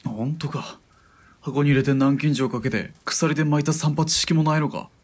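An actor playing a grumbling, mercenary partner in an assassin duo in a radio drama, with a casual, sardonic way of talking. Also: male voice, a little high, normal speed, husky. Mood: concerned. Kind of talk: Japanese radio drama acting.

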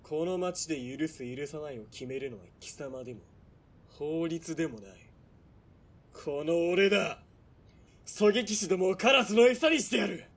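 An actor playing a sadistic, menacing military police villain in a radio drama, sneering and mocking, from quiet threats to barked orders. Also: male voice, on the high side, lively intonation, unhurried, slightly rough, heavily acted. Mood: cold menace. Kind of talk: Japanese radio drama acting.

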